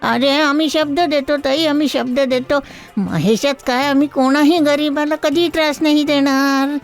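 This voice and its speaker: A woman voicing a blustering, bossy male market trader in an animated moral story. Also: sits high, measured pace, soft background music. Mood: apologetic. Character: bullying male market traders, loud and threatening.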